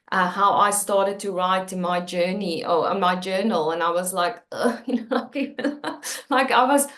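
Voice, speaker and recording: A woman's voice: medium pitch, roomy sound. A woman from South Africa, a native Afrikaans speaker with a South African accent, talking candidly and earnestly about her writing, with many fillers and restarts. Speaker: author and channel host. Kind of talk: personal talk about her book.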